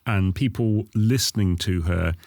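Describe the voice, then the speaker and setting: A man's voice: sits low, unhurried, darkish tone, dry recording, clean studio sound. A man speaking thoughtfully and reflectively as he is interviewed for a documentary.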